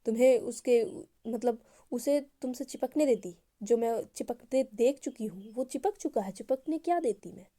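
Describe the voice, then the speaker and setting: A woman's voice: fairly high pitch, normal speed, few pauses, mellow, voice pushed up, close to an everyday voice. A woman voicing an angry, jealous young woman who lashes out in heated, accusing outbursts. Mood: furious.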